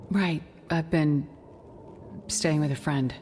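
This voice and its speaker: Low-voiced, composed, determined woman. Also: fairly even intonation, slow pace, a little mellow, a little hiss or hum. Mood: polite, purposeful. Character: composed, determined woman searching for a missing doctor. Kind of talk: cinematic video game drama acting.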